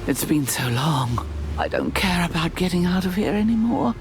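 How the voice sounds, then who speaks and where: An actor voicing a despairing parent in a fantasy game, trapped and resigned to death, speaking in an anguished, halting way. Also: mid-range voice, lively intonation, noisy background, natural voice. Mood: broken grief. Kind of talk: scripted fantasy game dialogue.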